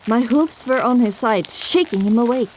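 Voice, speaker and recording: A woman's voice: on the high side, lively intonation, moderate pace, darkish tone, a little hiss or hum, low-quality recording. A woman narrating an audio drama in the first person with a dramatic, scripted delivery.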